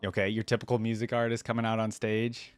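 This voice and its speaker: Very low-voiced woman casually reacting. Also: flat delivery, fast, clean tone. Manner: laid-back, musing, echoes lines aloud. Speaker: reaction video host.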